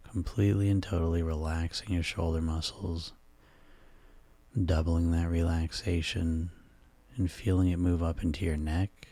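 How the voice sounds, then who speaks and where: A man leading a guided sleep hypnosis session in a soothing, hushed, instructional way. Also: deep voice, no room sound.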